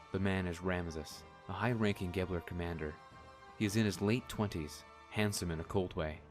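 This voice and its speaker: A man reading scripted narration for an audio drama in a measured, descriptive manner. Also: low-pitched.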